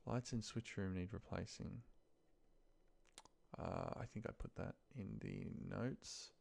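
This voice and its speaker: A man's voice: deep voice, slow, vocal fry, a little mellow. A man with an Australian accent gives a casual screen-recorded walkthrough, thinking aloud informally in short asides as he works. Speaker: office trainer.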